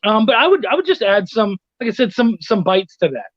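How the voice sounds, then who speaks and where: Guy guest on a video chat, pretty high voice, slangy, dry muffled audio. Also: sing-song, brisk, no echo. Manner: informal, slangy. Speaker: guest, comics writer and editor.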